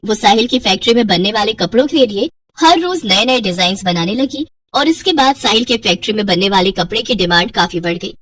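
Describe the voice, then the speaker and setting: A woman's voice: medium pitch, expressive, a little fast, a bit bright, husky, dry recording, recorded from a distance. A woman narrating scripted moral tales for cartoons in a storytelling style.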